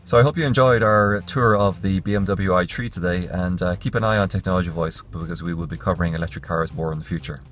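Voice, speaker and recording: Man, 30s, deep relaxed voice, tech reviewer recorded on a phone in a car with loud road noise. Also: quick pace, few pauses. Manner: relaxed, plain explaining with fillers. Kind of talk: casual first-person car review. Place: inside a moving car, and outdoors on a city street. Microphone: phone camera held close. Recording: noisy car and street sound.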